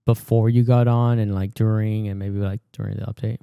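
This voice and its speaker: A man hosting a podcast, casually prompting his co-host with relaxed questions. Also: fairly low pitch, a little fast, creaky, clean tone, no room sound, lo-fi sound.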